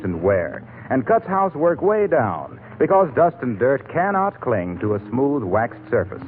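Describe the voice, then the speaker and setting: A man delivering polished, scripted announcements and sales pitches as a 1940s radio announcer, on a tinny old recording. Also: a little hiss or hum.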